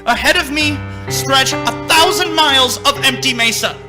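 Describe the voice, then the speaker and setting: An actor in a radio play playing a frightened young man who narrates his ordeal as he unravels, intense and halting. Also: very high-pitched, over music. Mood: near breakdown. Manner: intense, haunted, halting under strain. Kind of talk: dramatic radio play acting.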